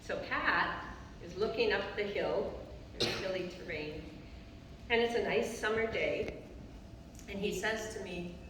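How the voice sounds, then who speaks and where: A woman giving a measured, prepared public talk as a co-author at a library event. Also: mid-range voice, background noise. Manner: measured, reads figures clearly, addresses audience. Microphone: distant room mic. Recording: echoey hall recorded from far off.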